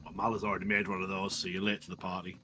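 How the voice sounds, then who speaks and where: A man casually chatting with friends during a game, relaxed and laughing, using lots of "like". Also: sits low, racing, music in the background. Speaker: gamer in voice chat. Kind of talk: casual gaming chat.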